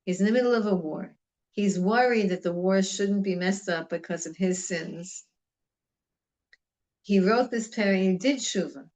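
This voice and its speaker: A woman gives a relaxed religious class, speaking at an ordinary middle pitch. Her talk is chatty and wandering, with Hebrew words woven into her English. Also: measured pace. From United States. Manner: conversational and digressive, mixing in Hebrew terms. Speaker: religious teacher. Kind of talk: informal Torah class on Psalms.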